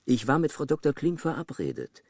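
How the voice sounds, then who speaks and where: A man's voice: a little high, wildly swooping pitch, a little fast, darkish tone, husky, no room sound, studio quality, full character voice. An actor playing a cocky, sarcastic male journalist in a radio crime drama, pushy and self-important. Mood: self-assured, demanding.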